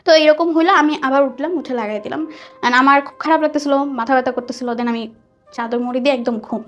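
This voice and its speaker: High-voiced young Bangladeshi woman storytelling. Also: fairly even intonation, brisk, fairly bright tone, muffled recording. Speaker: guest telling a personal story. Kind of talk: conversational spoken storytelling. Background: background music.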